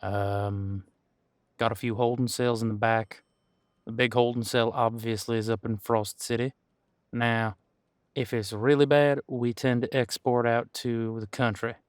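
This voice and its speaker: A man playing an easygoing veteran police officer in an audio roleplay, chatting casually and candidly with thoughtful pauses. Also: medium pitch, level tone, clean tone, dry recording, clean studio sound, lightly acted. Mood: candid, matter-of-fact. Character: easygoing veteran male supernatural police officer showing newcomer around. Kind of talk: audio roleplay acting, one-sided conversation.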